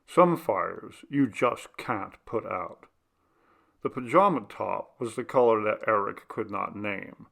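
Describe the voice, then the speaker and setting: A man reading a literary short story aloud as narration, with a gravelly voice. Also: fairly low pitch, takes it slowly, fairly bright tone. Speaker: audiobook reader.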